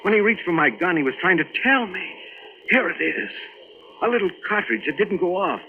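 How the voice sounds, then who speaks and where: A man's voice: high-pitched, big pitch swings, brisk, narrow, tinny audio, a little hiss or hum. An American man acting a tough, wisecracking adventurer in 1940s radio drama, with clipped, slangy delivery. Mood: brooding, intent. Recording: thin, band-limited old radio broadcast.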